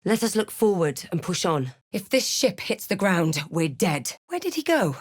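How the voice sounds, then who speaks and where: A woman's voice: fairly low pitch, sing-song, normal speed, slightly rough. A woman playing a determined, snarky adventurer and spellcaster in a video game, with clipped, theatrical delivery. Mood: alert, urgent.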